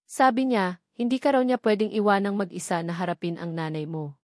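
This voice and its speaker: A woman's voice: medium pitch, steady tone, fairly quick, dry recording, clean studio sound, natural voice. A woman voicing a worried aunt in an audio drama, speaking anxiously with a trembling voice.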